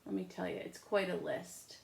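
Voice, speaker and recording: A woman teacher doing a storytime read-aloud with chatty asides, expressive and speaking as if to children. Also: on the low side, normal speed, vocal fry, slight background noise.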